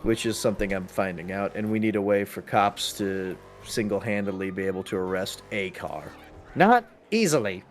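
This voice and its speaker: A man's voice: lowish voice, lively intonation, normal speed, faint music behind. Talkative man chatting while gaming. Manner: talkative, explanatory, freely swearing. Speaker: gamer in a role-play server. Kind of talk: casual chat while gaming.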